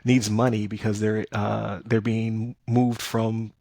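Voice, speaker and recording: A man's voice: on the low side, level tone, slow, vocal fry, dark tone, no echo, studio quality. A man hosting a casual podcast, talking things through loosely with plenty of fillers and restarts. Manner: conversational, many fillers and restarts. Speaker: podcast host.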